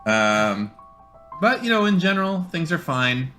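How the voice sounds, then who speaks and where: A man's voice: on the high side, very animated, unhurried, bright, forceful tone, soft background music. A man chatting casually on a podcast-style streamed call. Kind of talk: casual chat.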